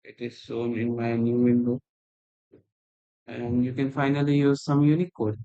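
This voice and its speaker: A man teaching a coding tutorial while screen recording, talking in a halting, rambling way with non-native phrasing, recorded on a laptop's built-in mic. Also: mid-range voice, monotone, warm tone, muffled recording. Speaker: programming tutor. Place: at a computer, indoors.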